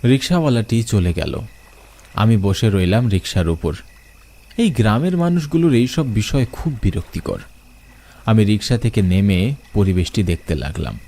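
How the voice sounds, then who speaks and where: A young man narrating a first-person horror story, expressive and asking questions as he goes. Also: on the low side, moderate pace.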